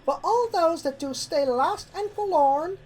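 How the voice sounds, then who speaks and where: A woman's voice: extremely high pitch, unhurried, a little mellow, slight background noise, voice pushed up. A woman voicing a folksy, stubborn and uneasy young pony filly in a story reading. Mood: reciting, uneasy. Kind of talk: character voice in fan fiction reading.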